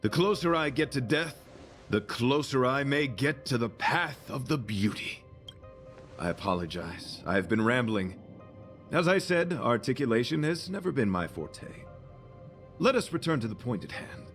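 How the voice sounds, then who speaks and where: A man's voice: medium pitch, big pitch swings, moderate pace, a bit bright, faint music behind. An actor playing a chivalrous young male knight devoted to beauty, speaking in a formal, flowery and solemn way in a video game. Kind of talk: video game character dialogue.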